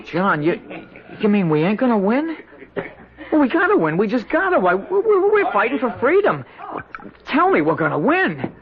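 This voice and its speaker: A simple, trusting country lad from an old American radio drama. His very high voice rises and falls eagerly in a childlike, pleading way. The recording is dull and limited, like an old broadcast. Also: wildly swooping pitch, normal speed. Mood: anxious, pleading. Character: naive, earnest, simple young country man.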